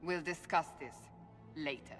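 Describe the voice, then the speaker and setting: Stern warrior in an echoing hall. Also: female voice, deep voice, measured pace, fairly bright tone, soft background music. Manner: blunt, clipped. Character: stern, resolute warrior woman. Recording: echoing hall with background noise.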